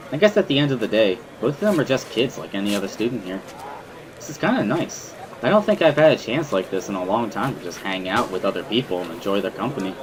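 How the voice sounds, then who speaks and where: Man playing a low-pitched reflective schoolboy narrator. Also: lightly acted. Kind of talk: first-person scripted narration, dramatic reading. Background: music.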